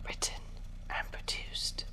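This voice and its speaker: An announcer reading scripted credits in a whispery voice. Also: takes it slowly.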